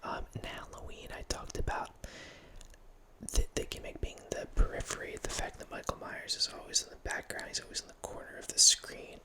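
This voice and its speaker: A man in his thirties whispering a relaxed ASMR ramble as host, close to a studio mic in a small home room, with frequent restarts. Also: brisk. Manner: whispering throughout, relaxed film-nerd musing with frequent restarts. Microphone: studio mic close up, headphones on.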